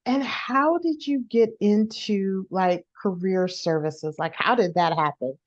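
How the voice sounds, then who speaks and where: A woman from California hosts a career podcast with a casual, upbeat delivery and easy laughter. Her pitch sits mid-range. The audio is slightly dull and lo-fi. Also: a little slow. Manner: upbeat, laughs easily, says like often. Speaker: podcast host, career coach. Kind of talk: casual interview hosting.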